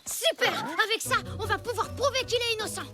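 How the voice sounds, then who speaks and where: Plucky, very high-pitched young cave boy. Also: brisk. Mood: eager. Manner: earnest, exclamatory. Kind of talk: animated children's series dubbing. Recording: loud music behind the voice.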